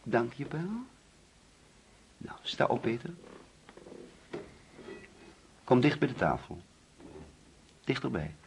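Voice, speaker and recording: An actor in a radio play as a grieving, middle-aged father, menacing and controlling, speaking in a deliberate, coaxing yet commanding way. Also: mid-range voice, big pitch swings, slight background noise. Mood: quiet menace. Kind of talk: radio drama acting.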